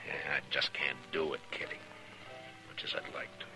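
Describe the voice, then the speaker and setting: An American actor playing a firm, weary, plain-spoken marshal in an Old West radio drama of the 1950s. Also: male voice, a bass voice, flat delivery, moderate pace, gravelly, over music, low-quality recording. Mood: troubled, resigned. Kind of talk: 1950s radio western acting. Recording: old radio recording, faint noise.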